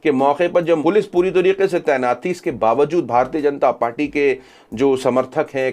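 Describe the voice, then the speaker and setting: A man's voice: medium pitch, a little mellow. A man hosting social media news commentary, reporting events and reading out reactions.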